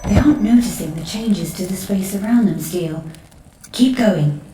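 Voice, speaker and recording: A woman's voice: medium pitch, normal speed, dark tone, roomy sound, a little hiss or hum, natural voice, rough recording. A woman playing an intent, uncanny investigator who senses disturbances in time, in an audio drama. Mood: urgent. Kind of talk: fan-made audio drama acting.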